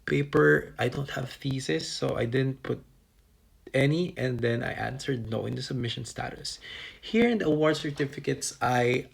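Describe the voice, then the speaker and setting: Young man, from the Philippines, gravelly husky voice, casual checklist walkthrough. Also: medium pitch, normal speed. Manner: talks through a checklist item by item, with restarts and fillers. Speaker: vlogger giving scholarship application advice.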